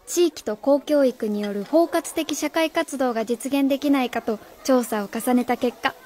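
A woman's voice: sits high, moderate pace, a little mellow, lightly acted. A woman acting in a Japanese radio drama as a stiff, earnest young schoolteacher, proud yet vulnerable, speaking formally and politely. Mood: earnest resolve.

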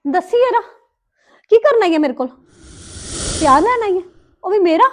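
A woman's voice: very high voice, lively intonation, normal speed. A woman playing a bitter, anguished young mother in a domestic melodrama, reproachful and defiant. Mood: bitter, tearful. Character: bitter, anguished young mother rejecting her crying baby daughter. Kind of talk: melodramatic domestic drama acting.

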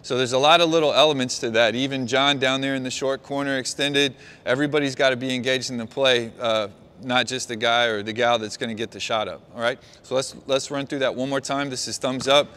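A middle-aged man, a basketball coach, walking his players through a play on the court and calling out quick praise. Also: medium pitch, fairly even intonation, fairly bright tone, clear, resonant tone. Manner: talks players through a walk-through, calls out praise. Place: large indoor gym.

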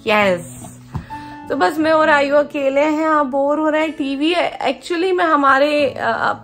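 A woman's voice: high-pitched, takes it slowly, fairly bright tone. A woman in her thirties from India with an Indian accent, chatting casually to a phone camera at arm's length in her bedroom, slipping English phrases into her talk. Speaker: vlogger. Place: bedroom at home. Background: music playing.

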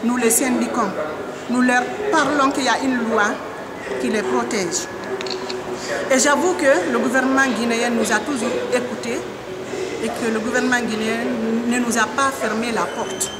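A high-pitched middle-aged woman from Guinea speaks into a reporter's handheld mic, earnest and insistent, hammering home her key phrases. Loud crowd chatter fills the indoor public hall behind her. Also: fairly even intonation, moderate pace. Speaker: trade union leader. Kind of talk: press interview answers. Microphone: handheld reporter mic held close.

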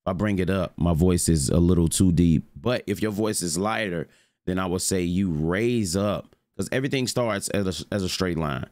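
Young man, very deep voice, dry close sound, casual rambling tutorial, slangy. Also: normal speed, a little mellow, dry recording. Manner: loose and rambling, slangy, with filler phrases. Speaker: streamer showing mic settings. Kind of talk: casual screen-recorded tutorial. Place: home streaming room with purple lights.

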